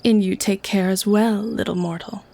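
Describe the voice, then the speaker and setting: A woman's voice: mid-range voice, fairly even intonation, normal speed, gravelly, warm tone, lightly acted. A woman playing a haughty, teasing vampire who keeps prisoners, in an ASMR-style audio drama. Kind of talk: ASMR audio roleplay acting.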